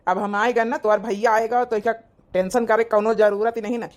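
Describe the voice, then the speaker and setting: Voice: medium pitch, level tone, a little fast, natural voice. A performer voicing a protective, caring young village husband and brother with an Awadhi accent, earnest in manner. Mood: reassuring.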